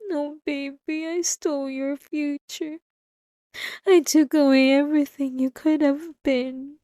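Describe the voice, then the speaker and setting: Girl voice acting possessive wife asmr, high soft mellow voice, slow halting confession, sounds guilty and unhinged. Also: steady tone, no echo, studio quality. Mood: self-loathing. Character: obsessive, possessive wife, remorseful, delusional and tormented. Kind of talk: intimate ASMR audio roleplay monologue. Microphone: close microphone, dry.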